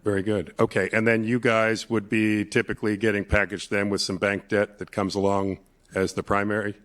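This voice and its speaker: Low-voiced man hesitantly asking a question. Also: little pitch movement, moderate pace, fairly bright tone. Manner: hesitant, many fillers while framing a question. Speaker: audience member, multifamily developer. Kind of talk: audience question at a conference.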